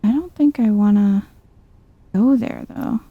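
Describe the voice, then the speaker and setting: A soft-spoken woman giving chill ASMR video game commentary, musing to herself as she plays. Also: medium pitch, measured pace, phone-quality sound, slight background noise.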